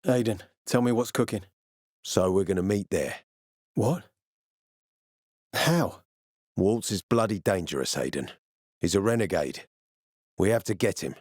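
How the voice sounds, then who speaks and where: Game role: gruff military commander, suspicious, curt and threatening, profane streak, recorded dry. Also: male voice, on the low side, lively intonation, a little slow, long pauses, a little mellow, dry recording. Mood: urgent, serious. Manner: terse, menacing, sardonic, profane. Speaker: video game voice actor. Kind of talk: video game character dialogue.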